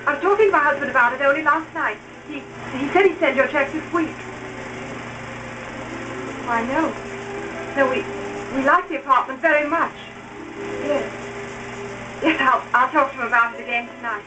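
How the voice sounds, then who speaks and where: An actress playing a quarrelsome young wife in a 1930s melodramatic film style. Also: female voice, high-pitched, normal speed, long pauses, natural voice. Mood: polite, apologetic. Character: nagging young wife, quarrelsome, later contrite. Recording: noisy old film soundtrack.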